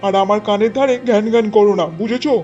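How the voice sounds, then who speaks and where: A man plays an exasperated cartoon village husband with an unusually high voice. He grumbles like someone henpecked and fed up, on a dull recording with music behind him. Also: steady tone, rapid-fire, mellow, natural voice. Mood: irritated. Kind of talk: Bengali cartoon voice acting. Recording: dull lo-fi track with music bed.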